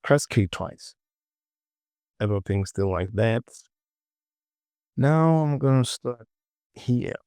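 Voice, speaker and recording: A man with a gravelly voice casually narrating a software tutorial, muttering short, repeated phrases to himself as he works. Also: medium pitch, expressive, slow pace, pauses often, no room sound. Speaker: tutorial host.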